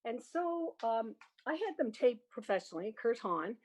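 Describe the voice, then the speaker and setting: A woman's voice: a little high, sing-song, moderate pace. An older woman with a Canadian accent, reminiscing casually and animatedly, with trailing asides. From Prince Edward Island, Canada. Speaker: guest, music educator and organist.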